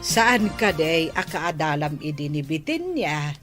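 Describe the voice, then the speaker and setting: A woman's voice: sits low, sing-song, a little slow, music in the background. An actress playing a patient, nagging older mother giving advice in an audio drama. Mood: chiding. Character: patient, nagging older mother advising her grown child. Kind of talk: Ilocano audio drama acting.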